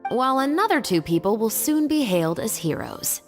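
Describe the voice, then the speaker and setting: An actress playing a cool, sardonic patrol captain in a video game, speaking in a casual, understated way. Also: female voice, on the high side, sing-song, fairly quick. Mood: sly, teasing. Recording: long reverb, music underneath.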